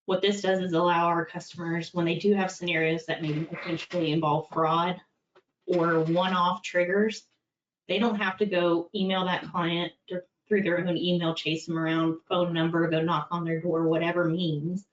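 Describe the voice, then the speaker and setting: Woman with a lowish voice, conversational, many fillers, roomy echo, heard from far off. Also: little pitch movement, moderate pace. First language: English. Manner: conversational, practical, with frequent fillers. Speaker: compliance executive presenting. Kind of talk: candid webinar talk. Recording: far-off echoey dull-sounding room.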